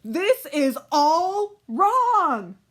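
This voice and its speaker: A woman's voice: extremely high pitch, very animated, very slow, fairly bright tone, exaggerated voice. A woman voicing an exasperated, dramatic storyteller who bickers with the characters of a comic storybook tale. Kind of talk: comic storybook read-aloud.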